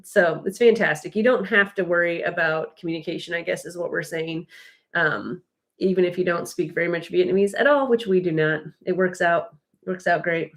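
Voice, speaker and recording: A woman's voice: lowish voice, normal speed. An American woman co-hosting a live travel stream, chatting casually and explaining things in a rambling, chatty way. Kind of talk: casual chat, giving travel tips.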